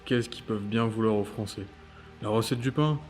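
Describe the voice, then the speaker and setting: A man's voice: fairly low pitch, moderate pace, darkish tone, soft background music, close to an everyday voice. A man playing a breezy, teasing and casually skeptical colleague at an agency in a French audio drama. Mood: light, joking. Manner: casual, joking. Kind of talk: French audio fiction dialogue.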